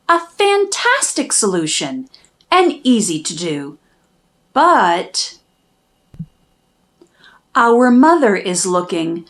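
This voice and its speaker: A woman reading a rhyming children's storybook aloud with expressive, dramatic emphasis. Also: fairly high pitch. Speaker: children's book narrator, channel host.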